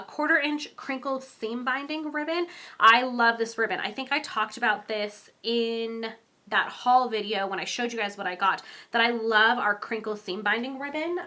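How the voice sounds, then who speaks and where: Bright-voiced congested woman crafter. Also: on the high side. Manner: chatty and rambling, with many ums; giggly, laughs often. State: congested from a cold. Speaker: card-making crafter. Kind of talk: casual crafting tutorial.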